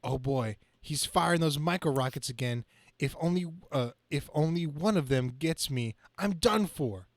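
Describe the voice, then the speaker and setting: Guy reading as young comic book superhero, quippy but kinda whiny about his bad luck, highish voice. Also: animated, moderate pace, dry recording. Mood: worried. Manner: quippy, self-pitying, dramatic reading from a page.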